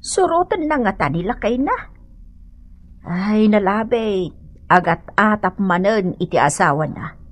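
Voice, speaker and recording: A woman's voice: mid-range voice, expressive, measured pace, no room sound. A woman with an Ilocano accent playing a gossipy, matter-of-fact neighbour in a radio comedy drama. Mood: gossipy, measured. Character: gossipy neighbour woman reporting on a husband's whereabouts.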